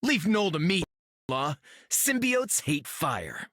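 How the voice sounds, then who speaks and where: Cocky, animated young hotshot. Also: male voice, on the high side, slow pace, dry recording, clean studio sound, heavily acted. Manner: breezy, teasing, slangy. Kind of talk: video game voice acting.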